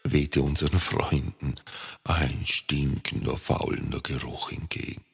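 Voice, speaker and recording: A man's voice: very deep voice, steady tone, a little slow, gravelly, husky. A man narrating a children's story expressively and playfully, speaking straight to young listeners. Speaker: author and storyteller. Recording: long reverb.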